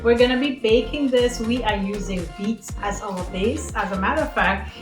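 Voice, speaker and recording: A woman's voice: a little high, moderate pace, roomy sound, faint music behind. A woman from Trinidad and Tobago hosting a home cooking demonstration, chatty and talking to viewers as friends. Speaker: cooking show host.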